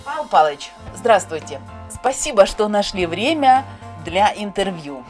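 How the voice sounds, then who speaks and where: Actress as cartoon interviewer, female, courteous, formal and inquisitive, average pitch, clear music underneath. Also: big pitch swings, measured pace, darkish tone. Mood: polite, curious. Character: polite inquisitive woman interviewer of a teacher. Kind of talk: scripted interview presenting in an educational cartoon. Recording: music behind the voice.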